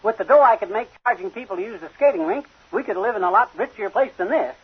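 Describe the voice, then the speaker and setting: Blustering, high-pitched old-time radio husband. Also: male voice, animated, fast, husky, exaggerated voice. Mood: defensive, argumentative. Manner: folksy, blustering and argumentative. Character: stubborn, blustering, penny-pinching husband proud of his old car. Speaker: comic lead in a radio sitcom. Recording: dull vintage radio recording.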